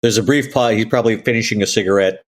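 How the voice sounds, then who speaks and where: A man's voice: on the low side, fast. A man playing a tabletop roleplaying game, narrating what his character does in an improvised way.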